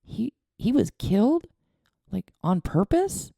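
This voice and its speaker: A woman's voice: mid-range voice, big pitch swings, takes it slowly, vocal fry, no room sound, studio quality, voice pushed up, heavily acted. A woman voicing a kind, earnest young boy who comforts a friend. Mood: puzzled. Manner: earnest, gently reassuring.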